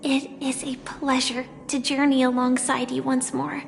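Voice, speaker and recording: An actress voicing a gentle young woman who haltingly confesses a hidden identity in a video game, in a soft, earnest way. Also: high-pitched, level tone, vocal fry. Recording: game audio with reverb and music.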